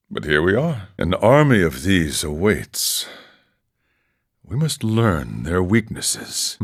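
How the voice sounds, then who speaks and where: A man voicing an older, serene and wry wandering ascetic monk in a video game, speaking in a solemn, measured, philosophical way. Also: sits low, fairly bright tone, heavily acted. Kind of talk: scripted game character dialogue.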